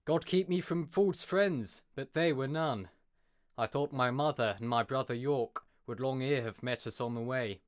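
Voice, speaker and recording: A man's voice: medium pitch, normal speed, natural voice. A man playing a weary, outspoken youthful prince, speaking formal verse with a petulant edge in a Shakespearean audio drama.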